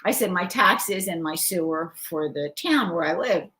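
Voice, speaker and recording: An older woman with a bright, ringing voice chats easily on a live show. She talks like a grandmother sharing stories, laughing often and reading comments from viewers. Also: lowish voice, expressive, normal speed. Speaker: co-host, grandmother. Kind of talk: casual chat on a live show.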